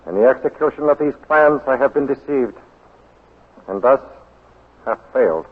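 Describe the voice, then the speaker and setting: Cold statesman, 1940s radio drama, formal, measured, grave, long reverb, tinny lo-fi sound. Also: medium pitch, lively intonation, slightly rough. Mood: solemn, resigned. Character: cold, imperious Japanese baron, head of government.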